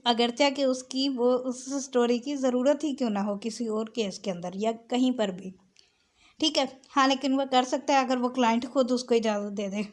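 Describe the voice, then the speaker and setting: High-voiced woman giving an explanatory lecture. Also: a little mellow. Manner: code-switches between Urdu-Hindi and English. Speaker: law lecturer. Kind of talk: explanatory lecture, reading clauses then explaining them.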